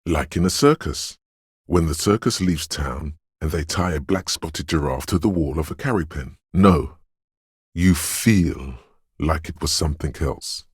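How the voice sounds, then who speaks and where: A man voicing the eerie, brooding inner voice of a detective's intuition in a video game, with slow, ominous, dreamlike narration and a husky, gravelly quality. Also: very deep voice, dry recording, studio quality, exaggerated voice. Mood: ominous, musing. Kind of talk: scripted video game narration in second person.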